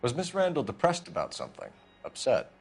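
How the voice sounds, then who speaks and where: A man's voice: mid-range voice, huge pitch swings, a little fast, gravelly. A man playing a stern 1940s police detective, questioning a witness formally and probing for answers in a video game scene.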